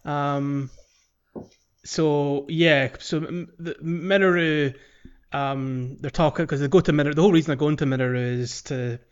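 A man co-hosting a podcast, talking casually about a video game in a halting way, searching for words. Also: fairly high pitch, fairly even intonation, clean tone, highs cut off. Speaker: podcast co-host.

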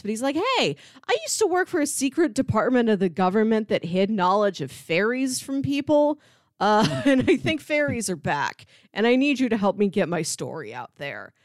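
Lively, playful woman chatting. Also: medium pitch, normal speed, no room sound, studio quality. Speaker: podcast co-host. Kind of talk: casual podcast chat about media.

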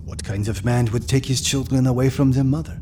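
A man's voice: mid-range voice, fairly even intonation, brisk, noisy background, natural voice. An actor playing a reflective, solemn man in his eighties, haunted by memories of his father, in an audio drama. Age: 80s. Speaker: storyteller recalling his childhood. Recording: music under the voice.

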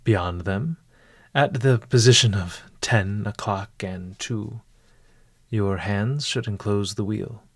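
A man leads a scripted guided meditation at a slow pace. His voice sits a little low, and his delivery is formal and measured. The recording is dry, with very little room sound. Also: breathy edge, no room sound. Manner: formal, measured, eloquent phrasing.

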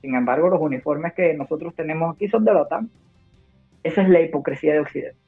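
A woman's voice: deep voice, fast talker, husky. Woman from Cuba, war correspondent, emphatic, urgent, tinny phone audio, faint music behind. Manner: emphatic, warning, long heated turns. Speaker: war correspondent and nurse guest. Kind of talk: phone interview. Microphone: phone call.

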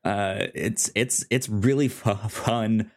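A man co-hosting a casual review podcast, talking haltingly and often repeating the starts of his words. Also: on the low side. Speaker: podcast co-host.

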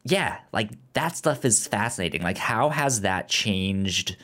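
A man's voice: on the low side, animated, measured pace, fairly bright tone. A man co-hosting a podcast, chatting casually about a movie, often stammering and repeating syllables. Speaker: podcast co-host.

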